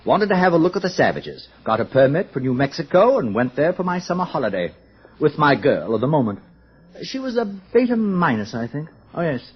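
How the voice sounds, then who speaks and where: An actor playing a pompous older male director with a rambling, halting, officious manner in a radio drama. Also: a little high, very animated, breathy edge. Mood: wistful, musing. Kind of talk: old-time radio drama acting. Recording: dull, muffled old radio recording.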